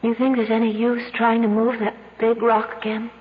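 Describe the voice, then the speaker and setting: A woman's voice: fairly high pitch, little pitch movement, moderate pace, close to an everyday voice. A plaintive young woman in love played by an actress speaks tenderly, trapped, cold and starving. Her voice comes through thin, phone-like vintage radio sound with faint noise. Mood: weak, worried. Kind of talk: old-time radio drama acting. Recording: tinny, band-limited vintage radio with hiss.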